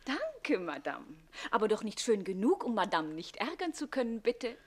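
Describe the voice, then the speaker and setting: An actress playing a pert, quick-witted young servant bride in a radio comedy, speaking with saucy, icy politeness. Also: female voice, on the high side, big pitch swings. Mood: icily polite. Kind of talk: theatrical German radio comedy acting.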